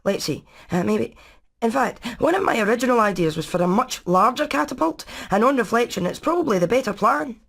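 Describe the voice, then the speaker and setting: A woman's voice: fairly high pitch, animated, a little fast, creaky. A woman voicing a brainy, practical hen engineer in a video game, with a Scottish accent and a technical, matter-of-fact way of talking. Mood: thoughtful. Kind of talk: animated video game acting.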